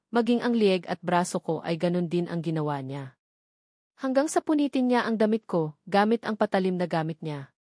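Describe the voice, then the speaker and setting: A woman narrates a dramatic novel in scripted storytelling style. Her voice sits at a medium pitch, recorded very dry with no room sound around it. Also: darkish tone, no echo. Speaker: audiobook narrator.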